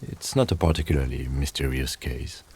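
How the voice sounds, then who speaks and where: Calm game police lieutenant, low mellow voice, formal, matter-of-fact, dutiful. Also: male voice, moderate pace, husky, exaggerated voice. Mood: matter-of-fact, serious. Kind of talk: video game dialogue acting.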